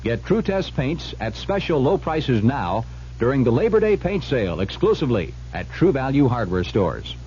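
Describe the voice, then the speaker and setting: A male announcer reads a retail radio commercial with animated pitch, going through the offer point by point. The old recording is muffled, with a faint hum. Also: moderate pace.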